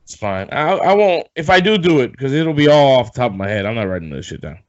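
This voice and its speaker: A man hosting a casual sports podcast, rambling and loose, swearing offhand, a bit hoarse as if coming down with something. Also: mid-range voice, very animated, quick pace. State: losing his voice, feeling sick. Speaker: podcast host. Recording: dull lo-fi sound.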